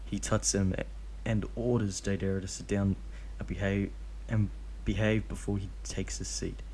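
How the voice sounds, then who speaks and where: Low-voiced man reading a fanfic. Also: little pitch movement, moderate pace, dark tone, slight background noise, rough recording. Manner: plain reading with slips and restarts. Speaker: fanfiction reader. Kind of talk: reading fanfiction aloud, then casual commentary.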